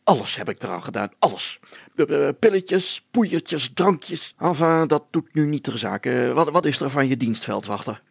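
A pompous village mayor from a children's story, high and rough-edged. He stutters and splutters sneezily, creaking as he swoops through flustered orders. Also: expressive, normal speed, dry recording, full character voice. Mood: flustered, grumbling.

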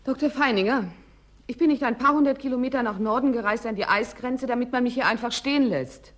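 An actress in a German radio drama playing a determined, indignant researcher who speaks formally and insistently. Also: female voice, fairly high pitch, fast talker, close to an everyday voice. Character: determined, indignant woman researcher new to the frozen frontier.

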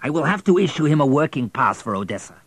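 A man reading an audiobook, voicing a stern, sneering small station master who gives orders in a raised, forceful voice. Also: on the high side, big pitch swings, fast, breathy edge, no echo, pitched above the performer's own voice, exaggerated voice. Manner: commanding, sneering.

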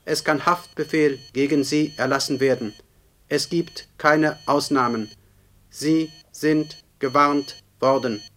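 An actor playing an implacable justice computer that gives cold official warnings in a 1970s radio play. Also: male voice, fairly high pitch, fairly even intonation, takes it slowly, fairly bright tone, a little hiss or hum. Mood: cold, menacing. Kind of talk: 1970s German science-fiction radio play acting.

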